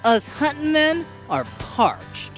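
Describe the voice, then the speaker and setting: A man's voice: extremely high pitch, sing-song, slow pace, a bit bright, faint music behind, pitched above the performer's own voice, exaggerated voice. A man voicing a cocky, casual hunter in an audio drama, drawling and unimpressed. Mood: smug. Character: cocky, casual male hunting companion.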